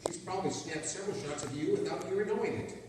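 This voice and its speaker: Actor as detective uncle for radio play, steady and dry, recorded in a big echoing hall with clear noise. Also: medium pitch, fast talker, lightly acted. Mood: wry. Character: square-jawed detective uncle, steady and wry. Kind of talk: stage radio-play acting. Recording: echoey hall from far off, noisy.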